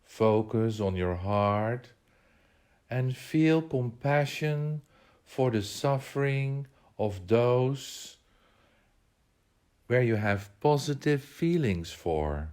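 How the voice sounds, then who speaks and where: A man leading a guided meditation, giving measured instructions in short phrases with pauses between them. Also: mid-range voice, expressive, darkish tone, clear, resonant tone.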